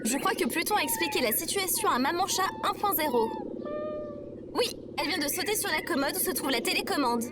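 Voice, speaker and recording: Woman playing a sarcastic, high-pitched female robot. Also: big pitch swings, heavy background noise. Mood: wry. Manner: sarcastic, quick to take offence. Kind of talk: comedic audio fiction acting.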